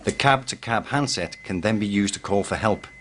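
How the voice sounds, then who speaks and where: A man playing an experienced station supervisor, calmly and methodically instructing a new recruit in a scripted training film, recorded over heavy noise. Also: lowish voice, normal speed. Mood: instructive. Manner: methodical, checks understanding.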